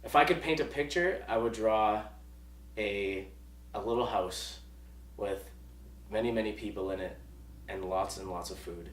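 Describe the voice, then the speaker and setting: A man's voice: fairly low pitch, measured pace, long pauses, roomy sound, far from the mic. A man in his twenties giving wry, self-deprecating interview answers. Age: 20s. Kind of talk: church video interview.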